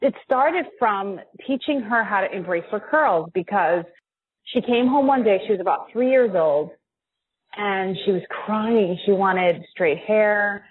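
A woman's voice: medium pitch, unhurried, a little hiss or hum. An American woman in her forties chatting casually as a guest in a podcast interview. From United States. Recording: thin, phone-like line.

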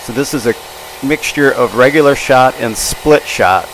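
Older man over clear workshop machine noise. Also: medium pitch, normal speed, low-quality recording. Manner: folksy, plainspoken. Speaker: machinist and channel host. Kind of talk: casual workshop narration of hands-on work. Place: machine shop, indoors. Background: machinery and power tools.

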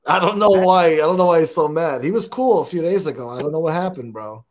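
Brief: relaxed thirties guy hosting, lightly high voice, teasing tone. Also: fast talker, over a noisy crowd. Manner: laid-back, teasing. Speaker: host. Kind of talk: casual live-stream chat.

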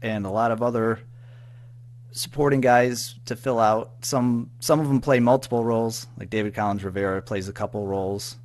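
A man being interviewed in casual chat, speaking haltingly, repeating words and trailing off. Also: mid-range voice, steady tone, darkish tone. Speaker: audio drama writer-producer, interview guest. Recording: faint background noise and hum.

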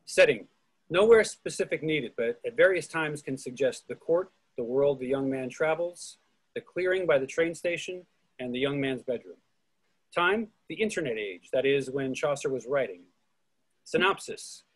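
A man's voice: mid-range voice, expressive, moderate pace, husky, poor audio. A man reading stage directions from a script in an online play reading over a video call.

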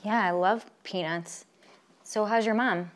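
A woman's voice: medium pitch, a little slow, natural voice. An actress playing a polite, agreeable young woman chatting in an apartment kitchen. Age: young adult. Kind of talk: deadpan comedy sketch acting.

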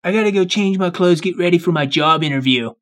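A man's voice: sits high, fast, fairly bright tone. A man playing a scheming evil twin. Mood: falsely polite. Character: scheming evil twin posing as friendly out-of-town cousin. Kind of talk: improvised video game roleplay.

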